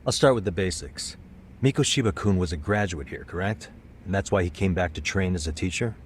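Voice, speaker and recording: A man's voice: low voice, brisk, a little hiss or hum, natural voice. A man playing a cool, persistent private detective in a video game cutscene, casual, composed and probing. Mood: probing, reassuring.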